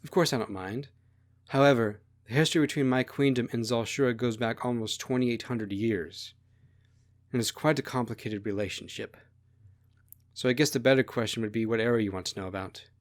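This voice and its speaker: A man voicing an obliging young princess who explains things quietly and reflectively in a story. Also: medium pitch, a little fast, natural voice.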